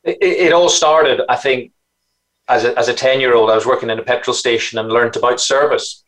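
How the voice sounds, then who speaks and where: A man's voice: medium pitch, brisk. Belfast man telling business stories. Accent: Belfast, Northern Irish. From Northern Ireland. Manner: animated, anecdotal, repeats words when starting. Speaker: guest entrepreneur. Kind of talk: interview storytelling.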